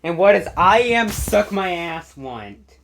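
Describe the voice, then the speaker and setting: A woman's voice: lowish voice, big pitch swings, slow, a little hiss or hum. A woman giving a casual, sweary show-and-tell as host of a collection video, blunt and easily irritated.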